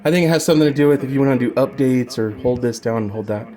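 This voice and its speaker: A man's voice: medium pitch, very fast, mellow. A man presenting a casual hands-on demo of a vocal effects unit, informal and rambling, trailing off mid-explanation.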